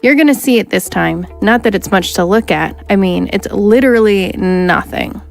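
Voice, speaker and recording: A woman's voice: mid-range voice, moderate pace, creaky, close to an everyday voice. A woman playing a brash, swaggering space smuggler pilot, cocky and teasing, in an audio drama with faint music behind. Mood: breezy. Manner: cocky, teasing, rule-bending.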